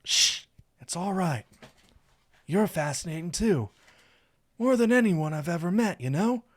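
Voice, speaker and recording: A man's voice: high voice, lively intonation, measured pace, voice pushed up. A man voicing an affectionate, playful and pouty young male wizard in a visual novel, with a theatrically emotional delivery. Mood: tender, passionate. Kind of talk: visual novel character reading.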